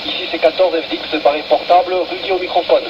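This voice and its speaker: A man's voice: a little high, steady tone, fast. A man making a casual radio contact, enthusiastic and slangy. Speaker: amateur radio operator. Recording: noisy, muffled radio transmission.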